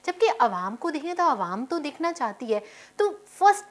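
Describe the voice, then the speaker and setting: A woman's voice: high-pitched, very animated, normal speed. A woman with a Pakistani accent hosting a conversational talk show. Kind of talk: conversational talk show hosting in Urdu with some English words.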